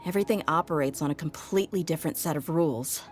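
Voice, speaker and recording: A woman's voice: deep voice, fast talker, soft background music, close to an everyday voice. A woman playing a tough, easygoing desert mercenary in an anime game, speaking in a casual, frank, reassuring way. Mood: evasive.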